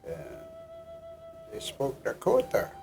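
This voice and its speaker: An older man with a gravelly voice telling a story slowly in an interview. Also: mid-range voice, huge pitch swings, darkish tone, soft background music.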